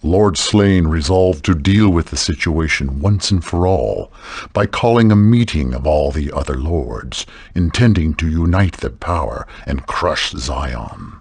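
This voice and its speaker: A man's voice: very deep voice, expressive, a little slow, a little mellow, slightly rough, dry recording, full character voice. A man voicing a grave, wise old lord in a fantasy game, speaking in a solemn, formal manner.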